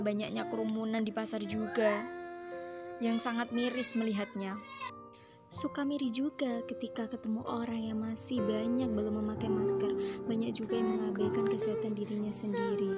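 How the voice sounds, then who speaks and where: Young adult woman, fairly high pitch. Student explainer read to viewers as friends, prominent music bed. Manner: addresses viewers as friends, storytelling. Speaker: university student presenter. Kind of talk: scripted explainer narration for a class video. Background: loud music under the voice.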